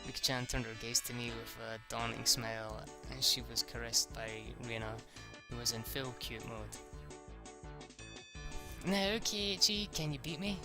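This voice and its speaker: A man reading a visual novel aloud as dramatic narration, an amateur who stumbles over words and restarts. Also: medium pitch. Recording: music playing behind the voice.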